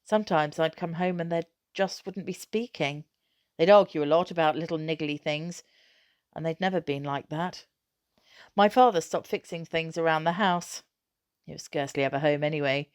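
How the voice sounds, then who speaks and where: A woman narrates a children's novel in a fairly low voice. She reads scripted prose aloud with expression, as a storybook reader. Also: dry recording, studio quality.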